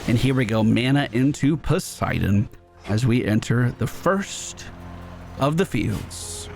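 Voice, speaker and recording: A man's voice: medium pitch, expressive, takes it slowly, creaky. Upbeat guy narrating his gameplay, voice jumps around a lot, game music behind him. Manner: upbeat running narration of own play. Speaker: gaming video host. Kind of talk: gameplay commentary. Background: video game music.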